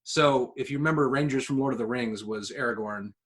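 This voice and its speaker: A man with a mid-pitched voice co-hosts a casual podcast. He talks informally and with enthusiasm, pitching ideas and tossing in fan asides. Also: a little fast, a bit bright. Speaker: podcast co-host.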